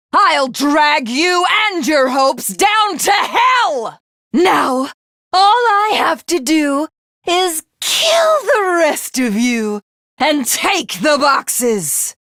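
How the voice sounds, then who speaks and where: A woman's voice: fairly high pitch, fairly bright tone, dry recording, clean studio sound, exaggerated voice. A woman voicing a haughty, ruthless alien villainess in a video game, with a commanding, imperious and grandiose delivery. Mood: furious, megalomaniacal.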